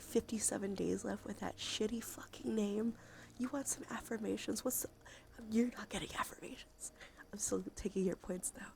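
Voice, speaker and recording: A woman livestreamer talking casually in a soft, breathy whisper with vocal fry, in an informal ASMR game stream style. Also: on the high side. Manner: sweary, informal. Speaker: livestreamer and host.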